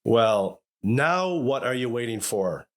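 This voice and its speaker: A man's voice: medium pitch, sing-song, slow. An actor playing a sarcastic middle-aged father in a radio sitcom, grumbling and exasperated. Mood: wry, impatient. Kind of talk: 1950s radio sitcom acting.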